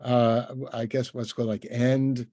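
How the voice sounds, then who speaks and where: A man hosting a casual podcast interview, talking in a halting, rambling, encouraging way. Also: medium pitch, flat delivery, dark tone, dry recording, studio quality. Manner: halting, rambling, with many repeated word starts.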